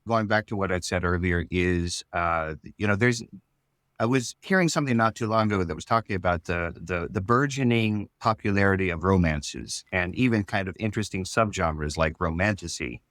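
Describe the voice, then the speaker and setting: A man's voice: low voice, moderate pace, fairly bright tone, no room sound, studio quality. A man, the writer of a fiction show, explaining casually with some hesitation in an interview.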